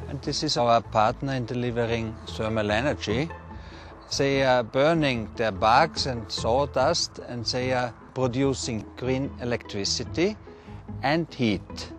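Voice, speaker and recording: Middle-aged English speaker, earnest documentary interviewee, music underneath. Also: male voice, medium pitch. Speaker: brewery representative interviewee.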